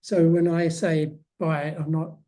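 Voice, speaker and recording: A man's voice: on the high side, flat delivery, measured pace, dark tone. A man speaking as a guest author in a casual interview, often hesitating and restarting his phrases.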